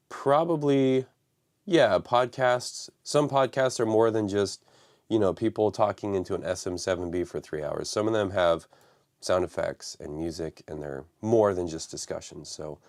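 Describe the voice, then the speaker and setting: Guy with a raspy flu voice rambling on a live stream from his home studio, kinda low. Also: a little mellow. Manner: rambling, many fillers. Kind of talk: casual live stream talk.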